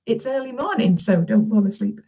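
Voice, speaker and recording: Woman kids' author chatting to schoolchildren on a live video, highish voice, friendly, lots of little check-in questions. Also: animated, brisk, warm tone, breathy edge. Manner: friendly, encouraging way of addressing young children. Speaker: children's author and storyteller. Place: room at home.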